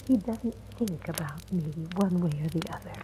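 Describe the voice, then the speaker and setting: A woman's voice: lowish voice, normal speed, close to an everyday voice. An actress playing a blunt, bitter, resigned household woman in old-time radio drama style. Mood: resigned, hushed. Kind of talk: 1940s-50s radio drama acting. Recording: old radio recording, faint noise.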